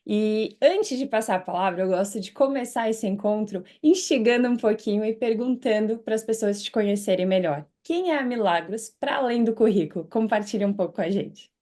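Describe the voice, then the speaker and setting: A woman's voice: mid-range voice, moderate pace, hardly any pauses, mellow. Enthusiastic woman hosting an interview channel. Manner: enthusiastic, effusive, inspirational.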